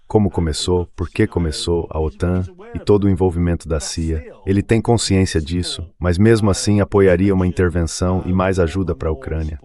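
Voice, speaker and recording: Deep-voiced synthetic man in political interview. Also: fairly even intonation, a little fast, hardly any pauses, mellow. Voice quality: synthetic text-to-speech voice. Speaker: AI dub voice translating an interview. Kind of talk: dubbed political interview, conversational.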